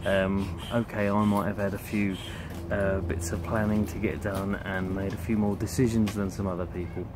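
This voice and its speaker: A man with a fairly low voice gives relaxed, chatty vlog narration. He is recorded outside at a campsite, and wind and outdoor noise are clearly audible around him. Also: moderate pace. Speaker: vlogger and youth camp leader. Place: outdoors on a campsite, under a tent awning. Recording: windy, noisy outdoor camera sound. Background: wind and outdoor ambience.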